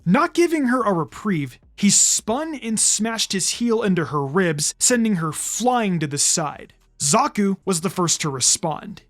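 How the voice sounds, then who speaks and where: A man's voice: high-pitched, big pitch swings, unhurried, few pauses, faint music behind. A man reading fan fiction aloud as a dramatic story narrator.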